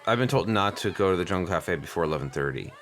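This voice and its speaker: A man's voice: deep voice, expressive, fast, a bit bright. A man playing an inquisitive, bookish young boy in an improvised comedy radio play, earnest and persistent, rambling pedantically and missing social cues.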